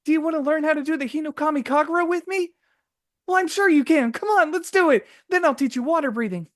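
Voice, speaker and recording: A man's voice: extremely high pitch, quick pace, fairly bright tone, pitched above the performer's own voice. A man voicing an earnest, kind-hearted teenage anime boy, eager and encouraging. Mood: cheerful encouragement.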